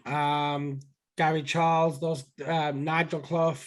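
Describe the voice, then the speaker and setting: A man's voice: a little high, level tone, takes it slowly. A man with a Geordie accent in a casual chat, teasing the others and chipping in with facts. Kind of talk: casual chat among fans.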